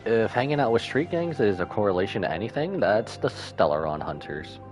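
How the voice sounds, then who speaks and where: A man's voice: on the low side, very animated, a little fast, over music. A man giving casual, slangy live reaction commentary as a game streamer. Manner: slangy, informal.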